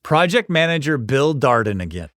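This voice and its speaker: A man's voice: medium pitch, sing-song, moderate pace, fairly bright tone, no room sound, clean studio sound. A man reading scripted, informative narration as the host of a documentary series.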